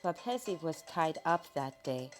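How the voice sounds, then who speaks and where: A woman narrating a children's folk tale from a script in a dramatic, expressive storytelling manner. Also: deep voice, unhurried, soft background music.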